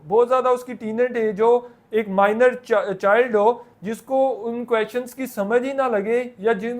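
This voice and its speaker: Pakistani guy lecturing on law, pretty high voice, goes over things step by step. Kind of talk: explanatory lecture in simple terms.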